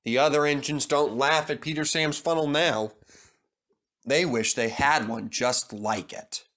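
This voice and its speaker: A man's voice: mid-range voice, unhurried, fairly bright tone. A man reading a children's story as a scripted narrator.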